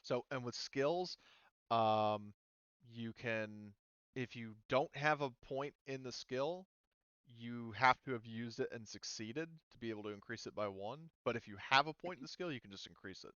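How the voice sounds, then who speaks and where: A man acting as game master, casually explaining tabletop game rules in a halting way with repeated words. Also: medium pitch, fairly bright tone, clear, resonant tone, dry recording, muffled recording.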